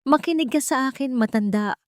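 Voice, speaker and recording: A woman's voice: high voice, brisk, no echo, studio quality, pitched above the performer's own voice, lightly acted. A woman voicing a cold, falsely polite, menacing wealthy female villain in an audio story. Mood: menacing threat. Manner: venomous, controlled, threatening.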